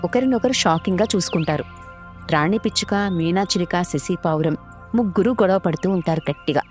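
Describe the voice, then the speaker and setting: A woman's voice: lowish voice, fairly quick. Woman narrating children's stories over music. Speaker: story narrator. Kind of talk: scripted children's story narration.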